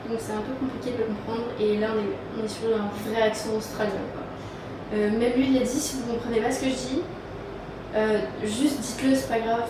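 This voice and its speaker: A young woman from France vlogging in casual, rambling chat with lots of fillers, filming on a phone in a moving car. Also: fairly high pitch. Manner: chatty, rambling with many fillers. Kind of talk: casual travel vlog chat. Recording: loud road noise behind.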